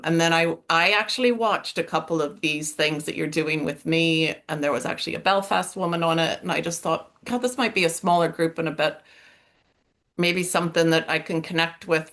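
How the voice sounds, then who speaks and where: A middle-aged woman with a somewhat low voice and an American-sounding accent talks openly on a video call. She speaks haltingly, stopping and restarting often and leaning on fillers. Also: a bit bright. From Northern Ireland. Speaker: coaching client. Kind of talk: candid interview.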